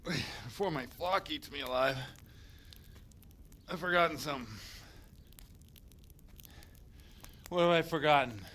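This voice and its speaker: A dissolute medieval priest, played by a man, pitched a little high. He is a blustering, scheming type with a theatrical, foul-mouthed way of talking, straight out of a game cutscene. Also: moderate pace, a bit bright, a little hiss or hum, natural voice. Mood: groaning, panicked. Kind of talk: video game cutscene acting.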